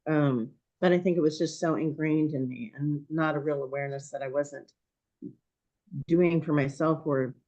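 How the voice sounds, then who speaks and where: Hoarse woman in her sixties, reflective. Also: low-pitched, normal speed, warm tone, muffled recording. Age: 60s. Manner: thoughtful, hesitant with frequent ums. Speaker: podcast guest. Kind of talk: reflective conversation.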